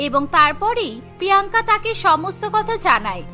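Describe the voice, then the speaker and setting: High, bright woman narrator. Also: very animated, normal speed. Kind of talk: scripted cartoon story narration. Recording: music behind the voice. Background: music.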